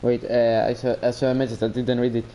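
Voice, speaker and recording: A man's voice: mid-range voice, flat delivery, quick pace, dark tone, slight background noise. A man with a Greek accent chatting casually and joking while streaming a game and reading chat.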